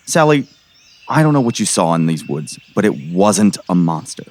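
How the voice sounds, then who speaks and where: A man acting a gruff, skeptical middle-aged sheriff in an audio drama, exasperated and pressing. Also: deep voice, very animated, moderate pace. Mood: exasperated, sarcastic. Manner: exasperated, sarcastic, pressing interrogator.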